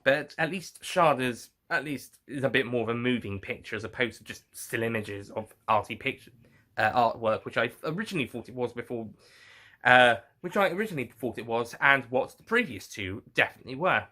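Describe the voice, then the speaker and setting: Male reviewer, very bright forward voice, mid pitch; off-the-cuff commentary, halting, circles back and self-corrects. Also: animated. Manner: halting, rambling, often repeats and corrects himself. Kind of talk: casual unscripted review commentary.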